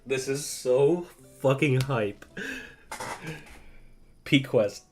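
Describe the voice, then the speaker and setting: Very low-voiced, excitable woman streamer. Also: extremely slow, soft background music. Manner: excitable, foul-mouthed, casual. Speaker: game streamer. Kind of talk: live stream reaction commentary.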